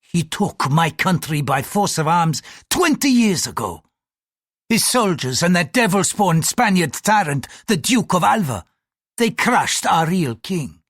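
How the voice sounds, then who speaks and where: An audiobook reader voicing an irritable, fanatical Portuguese priest who speaks in an impatient, accusing, forceful way. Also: medium pitch, big pitch swings, unhurried, husky, dry recording, studio quality, voice pushed up. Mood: indignant, bitter.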